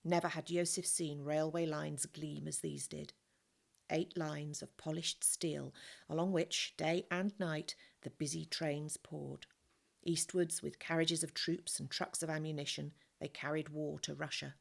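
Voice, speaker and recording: Woman reader, low and gravelly, steady expressive storytelling. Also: unhurried. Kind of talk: reading a novel aloud.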